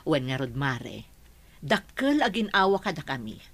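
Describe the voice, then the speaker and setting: An actress playing a middle-aged mother who speaks gently but firmly, with an Ilocano accent. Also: deep voice, sing-song, a little slow, slight background noise, exaggerated voice.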